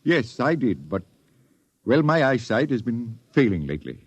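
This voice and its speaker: An actor playing an apologetic older ship's doctor in a 1950s radio drama, husky, hesitant and deferential. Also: male voice, medium pitch, very animated, normal speed, dry recording. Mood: sheepish. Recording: dull, dry vintage radio recording.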